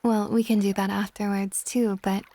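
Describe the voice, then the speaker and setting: A woman playing a possessive, tender dragon goddess in an intimate ASMR roleplay, speaking softly and breathily, often near a whisper. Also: medium pitch, flat delivery, lightly acted. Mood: teasing, seductive. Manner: soft, breathy, slow, often dropping to whispers.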